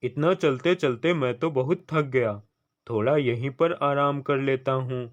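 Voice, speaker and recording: A man acts out a greedy, envious young villager from a cartoon moral tale. He speaks very slowly in a declamatory way, at a medium pitch, on a slightly dull recording. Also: lightly acted. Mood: earnest, weary. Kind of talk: scripted cartoon story dialogue. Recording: slightly dull lo-fi studio track.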